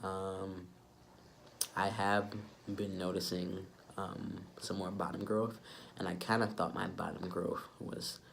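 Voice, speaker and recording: A young adult man with a congested, stuffy-nosed sound from a cold, giving a casual, low-key update to camera. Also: creaky, slight background noise. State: sick with a stuffy nose. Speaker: vlogger.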